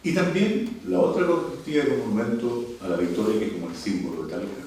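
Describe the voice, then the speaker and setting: An older man with a Chilean accent gives a formal speech in a deliberate, emphatic way, pausing between phrases. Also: mid-range voice, a little mellow. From Santiago, Chile. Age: 60s or older. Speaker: university leader, speaker at a cultural forum. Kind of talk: formal prepared speech with asides. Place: indoor cultural venue, picked up from afar. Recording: very echoey hall, distant mic, faint noise.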